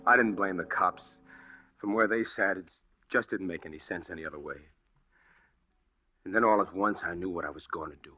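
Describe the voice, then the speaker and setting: A man's voice: sits low, fairly quick, pushed and bright. An actor playing a desperate, obsessed man with earnest, confiding delivery in 1940s radio style. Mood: confiding, brooding. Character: desperate, obsessed man narrating his hunt for truth. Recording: old radio drama with music.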